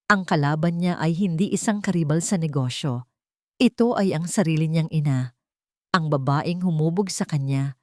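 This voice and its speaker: A woman's voice: on the low side, darkish tone, no room sound, studio quality. A woman with a Filipino accent reading a scripted, dramatic story as a narrator.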